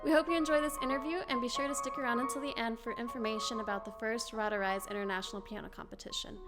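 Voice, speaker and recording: A woman host speaks quickly and brightly, a little high in pitch. Loud instrumental music plays behind her voice as she reads the introduction and welcomes her guest. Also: fairly even intonation. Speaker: interview host. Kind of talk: scripted intro narration and interview hosting.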